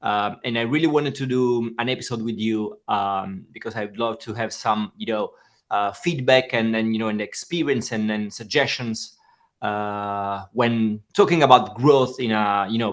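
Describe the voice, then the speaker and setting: A man hosting a podcast interview, friendly and halting, with many fillers. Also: fairly low pitch, a bit bright. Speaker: podcast host.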